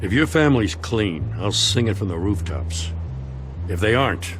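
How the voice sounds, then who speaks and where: A man playing a weary, honest middle-aged police lieutenant in a dramatic video game, blunt and plain-spoken with a gravelly voice. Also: fairly low pitch, sing-song, moderate pace, music in the background. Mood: blunt, firm.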